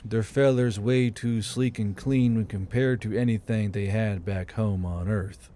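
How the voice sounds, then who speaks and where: A man's voice: mid-range voice, dark tone. A man narrating an audiobook story in a dramatic, scripted style.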